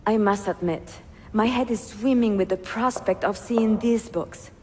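A woman's voice: medium pitch, fairly even intonation, measured pace, darkish tone, slightly rough. A woman playing an educated, poised young Italian bookseller in a video game, articulate, with an Italian accent and a reverberant sound. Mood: eager, curious. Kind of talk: game cutscene acting.

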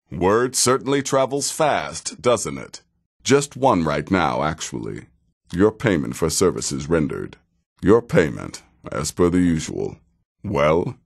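A cynical male assassin from a fantasy video game, speaks in a very deep voice. He takes his time, deliberate and dry. His deadpan delivery still swings widely in pitch, giving the sardonic wit a lively contour. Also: husky, exaggerated voice. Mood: measured, businesslike. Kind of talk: video game character dialogue.

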